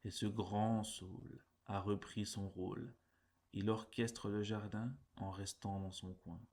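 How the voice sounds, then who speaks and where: A man reciting poems in a measured, deliberate way, phrase by phrase. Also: lowish voice, level tone, darkish tone. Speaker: poetry reader. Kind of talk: poetry recitation.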